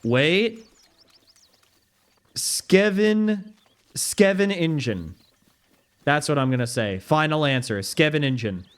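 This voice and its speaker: A man's voice: on the high side, animated, slow, long pauses, smooth. A young man streaming a video game, chatting casually with his viewers, reading their messages aloud and laughing easily. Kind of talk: casual gameplay commentary.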